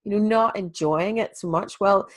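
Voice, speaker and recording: A woman's voice: mid-range voice, normal speed. A woman hosting an interview podcast, sounding, stuffy with a cold, chatty and full of advice. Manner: chatty, friendly advice-giving. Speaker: podcast host. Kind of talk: casual interview chat.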